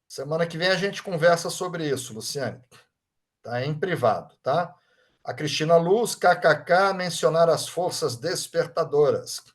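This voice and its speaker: Brazilian man leading an online group. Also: fairly high pitch. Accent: Brazilian Portuguese. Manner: warm, informal, often adds tag questions like "right?". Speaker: Reiki master leading an online study group. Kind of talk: live group session, reading out chat comments.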